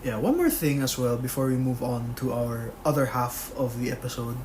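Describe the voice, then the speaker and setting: A man's voice: medium pitch, animated, moderate pace, background noise. A man co-hosting a podcast in casual chat, rambling and full of fillers. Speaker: podcast co-host.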